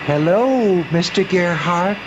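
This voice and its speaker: An actor playing a courteous, gently insistent old man's ghost, a lonely shopkeeper, in an old-time radio drama. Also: sits high, expressive, takes it slowly. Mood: calm, uncanny. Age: older. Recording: noisy, muffled old radio drama recording.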